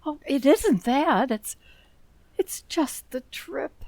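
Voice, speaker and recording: A woman's voice: high-pitched, animated, slow, darkish tone, no echo, exaggerated voice. A woman playing a worried, doting older mother in an old-time radio drama, pleading hesitantly. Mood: worried, tearful. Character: worried, tearful, doting mother of a grown son.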